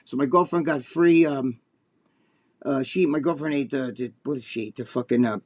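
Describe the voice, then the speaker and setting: An older man with a New Jersey accent, telling a story on a casual podcast. He swears often and acts out quoted lines in a raised voice. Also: normal speed. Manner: animated, profane, acts out quotes in a high voice. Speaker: podcast co-host.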